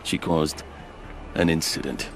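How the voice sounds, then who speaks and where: A man's voice: extremely low pitch, steady tone, a little slow. A man playing a wry, weary fort captain in a video game, dry and understated. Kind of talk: video game dialogue acting.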